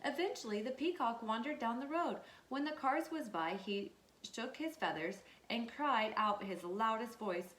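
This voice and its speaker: A woman's voice: fairly high pitch, animated, moderate pace, a bit bright. A woman teacher reading a children's storybook aloud and checking in with her listeners in an instructive way. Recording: echoey room.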